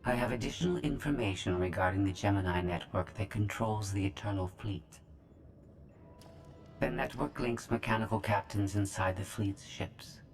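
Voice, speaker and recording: An actor voicing a cold, calculating droid AI with a filtered, machine-like voice in a game cutscene. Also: male voice, fairly low pitch, normal speed, soft background music. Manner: flat, precise, machine-like.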